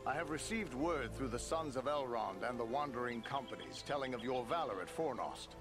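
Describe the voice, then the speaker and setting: A man playing a dependable ranger captain in a fantasy game, speaking in a grave, measured, formal manner. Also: mid-range voice, lively intonation, close to an everyday voice. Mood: grave counsel. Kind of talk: fantasy video game cutscene acting. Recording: music underneath.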